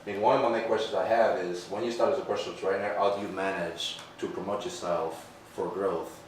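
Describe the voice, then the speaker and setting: Earnest thirties guy from Portugal, low voice, recorded far off in a boomy room. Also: fairly even intonation, fairly quick. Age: 30s. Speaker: aspiring personal trainer. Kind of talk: casual chat. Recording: far from mic, very echoey room, faint noise.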